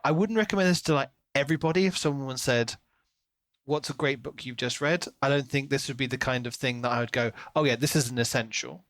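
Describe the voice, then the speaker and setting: A man's voice: medium pitch, brisk, fairly bright tone, no echo. A man co-hosting a podcast, chatting casually about books and slipping into imagined quotes as he talks. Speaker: podcast co-host. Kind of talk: casual book discussion.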